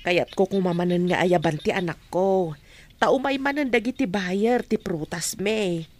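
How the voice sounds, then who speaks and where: A woman's voice: lowish voice, a little slow, a little mellow. A woman playing a proud, protective middle-aged mother in a radio drama, talking in an insistent, lecturing way. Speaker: Juliet's mother. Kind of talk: amateur radio drama acting.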